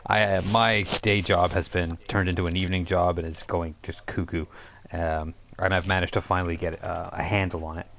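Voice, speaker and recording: A man with a low voice acts as game master for a tabletop game. He explains the scene informally and haltingly, as if chatting with friends at the table. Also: flat delivery, gravelly, a bit bright, a little hiss or hum. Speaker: game master and stream host. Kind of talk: casual tabletop game narration.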